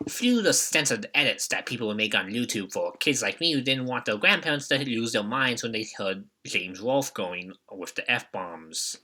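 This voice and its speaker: A man's voice: mid-range voice, pushed and bright. A young man narrating a scripted, joking video retrospective with a wry, mock-dramatic manner. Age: young adult. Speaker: YouTube retrospective host.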